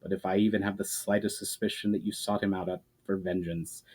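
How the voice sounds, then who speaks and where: A powerful, menacing man from a fantasy audiobook, acted out by a male reader. He is coolly patient and condescending, and he delivers his threats in measured, formal phrases. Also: sits low, level tone, a little mellow, voice pushed down. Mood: threatening. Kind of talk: dramatic fantasy audiobook reading.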